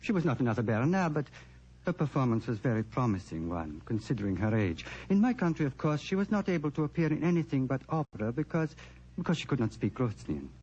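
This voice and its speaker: A man's voice: medium pitch, big pitch swings, quick pace, darkish tone, lightly acted. An actor playing a grieving, proud foreign prince in an old radio drama, formal and emphatic in his speech. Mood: proud, wistful. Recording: dull, noisy vintage radio recording.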